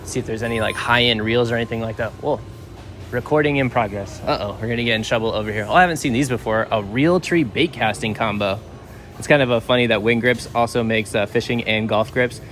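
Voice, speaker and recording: A man's voice: medium pitch, fast talker, fairly bright tone, soft background music. A man in his thirties hosting a casual vlog, rambling through store aisles with lots of fillers and self-corrections, recorded on a handheld camera indoors. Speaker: vlog host. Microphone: handheld camera held by the filmer.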